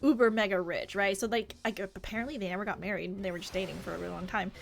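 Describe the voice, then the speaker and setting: An American woman streaming a game, chatting casually with an animated, gossipy manner. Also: medium pitch, racing, gravelly. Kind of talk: live stream chat while reading game dialogue aloud. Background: music.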